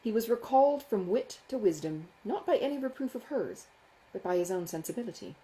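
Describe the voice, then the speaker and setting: A woman reading a classic novel as an audiobook, expressive and carefully phrased in a measured literary manner. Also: medium pitch, low-quality recording. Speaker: audiobook narrator, author.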